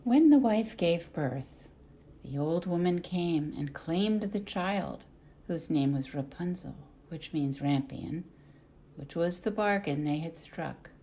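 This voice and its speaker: Female storybook narrator, lowish and creaky, deliberate dramatic phrasing, softly falling phrase ends. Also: animated, a little hiss or hum. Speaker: narrator, artist reading excerpts. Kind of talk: scripted storybook narration for adults.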